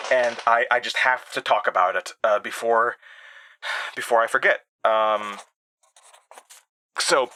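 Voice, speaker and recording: An actor playing an anxious, haunted young man in an audio drama, speaking in a halting, nervous, stammering confessional monologue. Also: mid-range voice, pushed and bright. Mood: anxious, urgent. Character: anxious, haunted young Midwestern man recalling traumatic memories.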